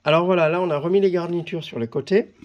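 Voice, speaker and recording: A man presenting a product in a casual hands-on demonstration, talking haltingly with pauses as he handles things. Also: sits high, muffled recording. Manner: halting, pausing while handling items. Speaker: video presenter reviewing a product.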